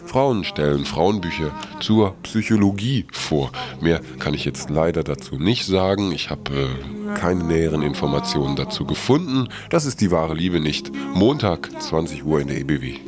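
A man with a very low voice hosts a morning radio show over music. He improvises his announcements in a loose, halting way with a wry touch. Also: lively intonation. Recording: music playing behind the voice.

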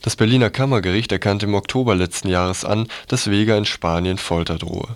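A man's voice: on the low side, brisk. A man presenting scripted radio news, reading the reports as written.

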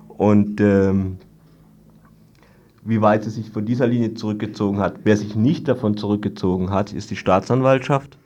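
A man giving an unscripted radio report, explaining things haltingly in long-winded sentences. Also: on the low side, a little mellow. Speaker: guest reporting on a court trial.

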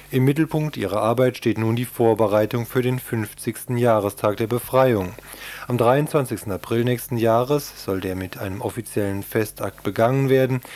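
A man's voice: on the low side, darkish tone. A man narrating a scripted radio news report.

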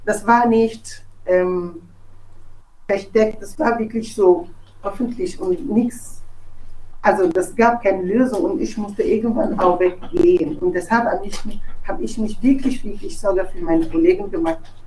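A woman from Togo with a West African accent speaks German as a non-native, giving a halting, impassioned statement and repeating words for emphasis. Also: mid-range voice, warm tone, far from the mic, slight background noise, rough recording. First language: not German. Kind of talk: impassioned spoken statement, unscripted.

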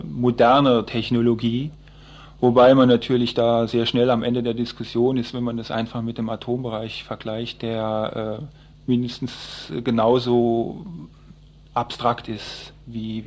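Male interviewee, activist type. Mid-pitched, very little melody, hesitant and explanatory delivery. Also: darkish tone. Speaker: environmental group activist being interviewed. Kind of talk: spontaneous radio interview answers.

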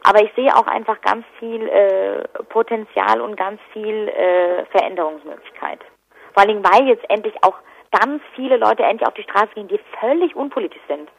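A woman's voice: medium pitch, moderate pace, creaky. A woman giving spontaneous interview answers as an activist. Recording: dull, lo-fi telephone line.

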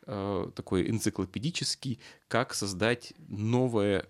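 Low-pitched middle-aged man in political discussion. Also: measured pace. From Russia. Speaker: political commentator, former local political activist. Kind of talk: discussion of politics, spontaneous commentary.

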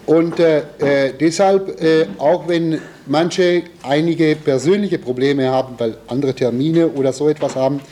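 Guy speaking slowly at a meeting, lots of hesitation, soft dark voice, bit of noise behind. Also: fairly high pitch. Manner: halting, hesitant, searching for words. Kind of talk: spontaneous critical statement at a meeting.

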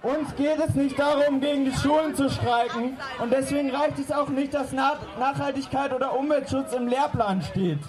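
A young woman giving an impassioned political speech at a rally, loudly and emphatically projecting her words. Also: high voice, steady tone, normal speed, few pauses. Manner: loud, emphatic projected delivery. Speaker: climate strike rally speaker. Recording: loud outdoor rally noise and crowd.

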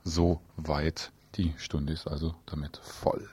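A man hosting a radio programme, announcing what is coming up in an informal, halting way. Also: rumbling low, flat delivery, heavy background noise. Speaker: radio host. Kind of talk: loose live programme announcements.

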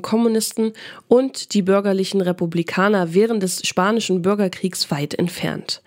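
A woman's voice: mid-range voice, darkish tone. A woman reads a scripted radio documentary as its narrator.

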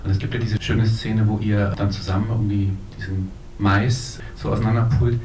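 Low-voiced male moderator, roomy sound. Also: little pitch movement, normal speed, a little mellow. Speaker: interviewer, moderator. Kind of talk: informal questions at a film talk. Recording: echoey room, distant mic, faint noise and rumble.

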